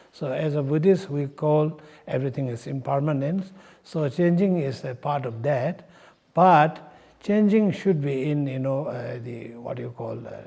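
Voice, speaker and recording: Halting older man, slow and mellow. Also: mid-range voice, vocal fry, slightly rough. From Nepal. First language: not English. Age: 60s. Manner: halting, searching for words, frequent pauses. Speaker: Buddhist monk in charge of a monastery. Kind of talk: unscripted explanatory talk.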